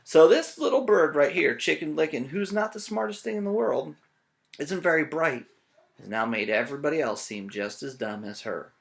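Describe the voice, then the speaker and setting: A man's voice: on the high side, expressive, moderate pace, gravelly, a little hiss or hum. A man with a teacher's manner reading a children's story aloud, playful and often asking listeners questions.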